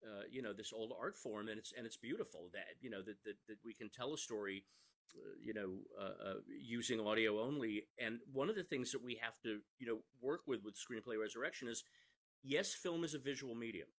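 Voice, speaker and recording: A man's voice: mid-range voice, brisk, fairly bright tone. A man speaking earnestly and conversationally as an interview guest, sometimes repeating words as he starts a phrase. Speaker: screenwriter and podcast creator, interview guest.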